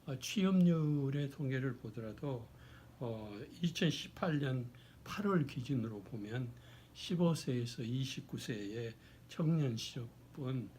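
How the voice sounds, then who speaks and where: A man, hoarse from a cold, giving an explanatory talk in a formal, deliberate way with halting phrasing. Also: medium pitch, lively intonation, darkish tone, slight background noise. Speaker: lecturer. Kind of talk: explanatory talk on social issues.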